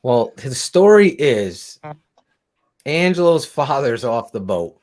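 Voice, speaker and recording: Man, sports show co-host, animated storyteller, laughs often. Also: medium pitch, slow pace. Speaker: podcast co-host. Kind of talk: casual sports chat.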